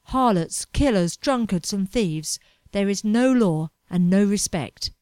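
A woman voicing a pompous, melodramatic young man chasing gold, complaining loudly about everything around him. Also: mid-range voice, lively intonation, slow, dry recording, studio quality. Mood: indignant. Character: anxious, pompous young male fortune hunter.

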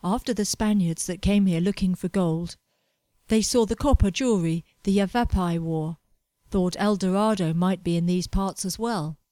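A woman's voice: fairly low pitch, moderate pace, no echo, natural voice. A woman reading as a pompous young fortune hunter. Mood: fervent. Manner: melodramatic, complaining, loud.

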